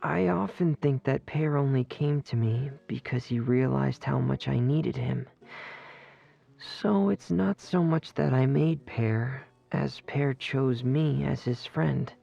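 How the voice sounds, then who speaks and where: Shy, slow-spoken dreamy teenage boy. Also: medium pitch, gravelly, mellow, lo-fi sound, exaggerated voice. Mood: shy, wistful. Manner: hesitant, earnest, unhurried. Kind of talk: video game character acting.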